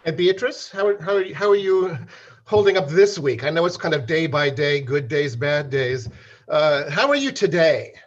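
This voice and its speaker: A man hosting a video-call interview, talking haltingly with many fillers and repeated words. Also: fairly high pitch, dull audio. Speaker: host.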